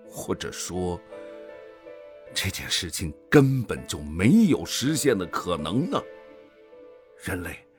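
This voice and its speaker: An actor playing a weary, guilt-ridden older male commander in a radio drama, brooding and deliberate with sighs. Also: lowish voice, exaggerated voice. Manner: brooding, deliberate, sighing soliloquy.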